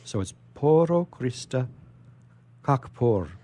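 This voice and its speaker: A man hosting a casual radio interview, speaking haltingly and repeating his phrases as he goes. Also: medium pitch, animated, dark tone.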